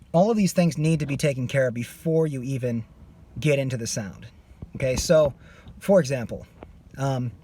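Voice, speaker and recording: A man's voice: medium pitch, a little mellow, slight background noise. A man teaching a singing lesson. He is losing his voice and stops his explanation to demonstrate screams. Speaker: vocal coach.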